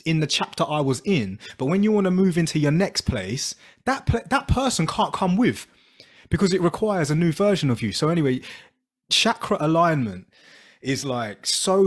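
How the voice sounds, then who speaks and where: A man co-hosting a podcast, talking in a rambling, chatty way full of fillers. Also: on the high side. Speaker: podcast co-host. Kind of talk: casual interview chat.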